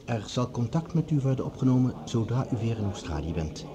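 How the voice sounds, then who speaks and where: A man's voice: medium pitch, very animated, crowd noise, close to an everyday voice. An actor playing a composed, authoritative male project leader in an old radio drama, speaking formally and courteously. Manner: formal, measured, courteous speechmaking. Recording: old radio recording with faint noise.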